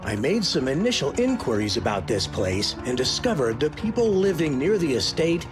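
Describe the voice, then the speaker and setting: An actor playing an earnest, articulate gentleman private detective in a scripted mystery game. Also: mid-range voice, very animated, normal speed, music in the background, natural voice. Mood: earnest, intent. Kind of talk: scripted adventure game dialogue.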